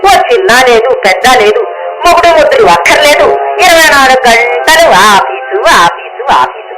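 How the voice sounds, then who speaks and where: A middle-aged mother-in-law with a high voice and a sharp temper. She complains and nags with sarcastic rhetorical questions, in radio drama style. Music is loud behind her. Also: lively intonation, normal speed, rough recording. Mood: exasperated. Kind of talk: Telugu radio drama acting.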